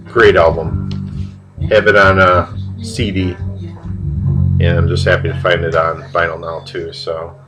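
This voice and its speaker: A man with a cold, sounding a bit nasal, chatting casually and haltingly on camera about records he found, with plenty of fillers and restarts. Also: deep voice, poor audio. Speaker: vinyl record collector showing finds. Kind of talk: casual video vlog.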